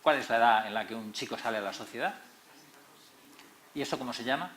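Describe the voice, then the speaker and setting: A middle-aged man with a Madrid Spanish accent giving an expressive public lecture, stressing key words as series moderator. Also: mid-range voice, quick pace, a little hiss or hum. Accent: Madrid Spanish, not very Canarian. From Tenerife, Canary Islands. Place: lecture hall. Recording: roomy echoey hall.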